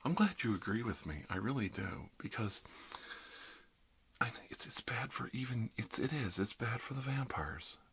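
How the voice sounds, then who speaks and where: A man's voice: medium pitch, big pitch swings, normal speed, creaky, a bit bright, slight background noise. A man doing an ASMR roleplay as a dentist, rambling casually and wryly while reassuring his patient. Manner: wry, conversational, reassuring patter to a patient. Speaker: ASMR performer playing a dentist.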